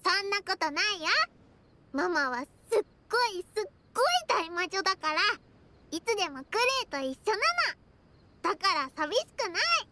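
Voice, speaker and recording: Very high, bubbly little girl. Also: expressive, normal speed, sharp tone, a little hiss or hum, heavily acted. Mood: happy, adoring. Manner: childlike, speaks of herself by name. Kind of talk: Japanese anime game voice acting.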